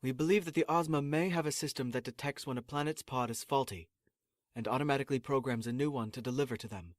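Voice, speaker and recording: A man's voice: medium pitch, fast, dry recording, studio quality, lightly acted. A man playing an exasperated, officious assistant in a sci-fi comedy audio drama, formal, sarcastic and condescending. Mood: weary, explaining. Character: exasperated, officious male assistant prepping space recruits.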